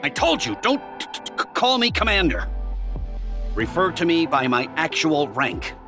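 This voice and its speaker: A man's voice: medium pitch, lively intonation, normal speed, music in the background, natural voice. A man playing an irritable, defensive military lieutenant who stutters on his words in a video game story. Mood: angry, defensive. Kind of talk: voiced game story dialogue.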